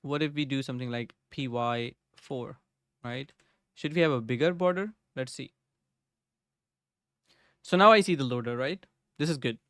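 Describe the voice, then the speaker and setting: A man's voice: mid-range voice, moderate pace, long pauses, a bit bright, clean tone, no echo, studio quality. A young man streaming live from his home desk, thinking aloud as he codes and greeting viewers in the chat. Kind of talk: casual live coding chat. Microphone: desk microphone.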